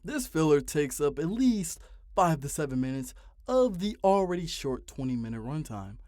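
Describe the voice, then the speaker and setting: A man's voice: fairly high pitch, big pitch swings, normal speed, a little mellow, dry recording, studio quality. A man in his twenties narrating a ranting video essay, exasperated and profane, with heavy emphasis. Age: 20s.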